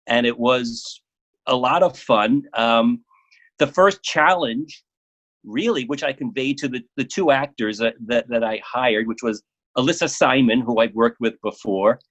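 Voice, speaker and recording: A man speaking casually as an interview guest about his work, often halting and repeating words as he goes. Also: medium pitch, fairly bright tone, lo-fi sound.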